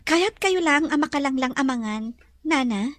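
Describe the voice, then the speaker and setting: A woman as a high-voiced, gentle young lady. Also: animated, normal speed. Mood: apologetic. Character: gentle, repentant young lady of the mansion, anxious bride-to-be. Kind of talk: audio drama acting.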